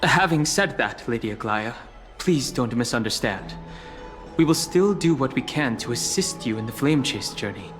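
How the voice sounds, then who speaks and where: A man playing a calm, guarded and courteous young traveler in a video game, speaking politely and with reserve. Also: medium pitch, moderate pace, music in the background, lightly acted. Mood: wary, polite.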